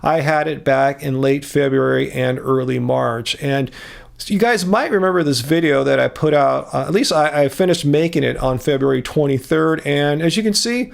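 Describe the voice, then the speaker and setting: A man's voice: fairly high pitch, moderate pace, gravelly. An American man vlogging to camera, explaining things in an earnest, casual way with frequent restarts. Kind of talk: casual vlog talk to camera.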